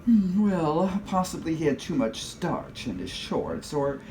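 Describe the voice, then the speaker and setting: A man's voice: a little high, lively intonation, a little slow, a little mellow, slight background noise, poor audio. A man playing a servile, evasive club employee in a comic noir radio play, formal and stammering. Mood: helpful, hesitant. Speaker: actor.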